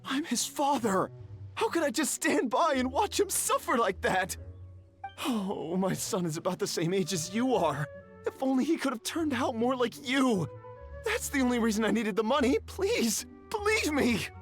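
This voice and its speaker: A middle-aged swindler and father in a video game. He is desperate and theatrical, with a very animated, whiny voice that stammers and begs. Music plays behind him. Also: very high-pitched, normal speed. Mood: pleading. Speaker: voice actor in a game. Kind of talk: dramatic game voice acting.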